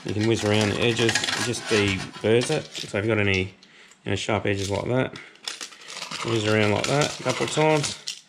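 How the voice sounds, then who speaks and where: An Australian man, hoarse from a cold, chatting casually through a workshop how-to while he works on metal. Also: lowish voice, normal speed. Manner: relaxed, chatty, thinks aloud with fillers. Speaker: host. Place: home workshop shed. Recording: noisy workshop with tool clatter.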